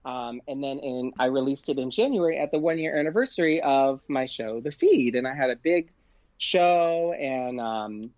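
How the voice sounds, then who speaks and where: A man's voice: mid-range voice, moderate pace, no echo. Chatty man, slightly dull close recording. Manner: animated, acts out quoted exchanges. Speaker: podcast guest, performer and producer. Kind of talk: casual chatty storytelling.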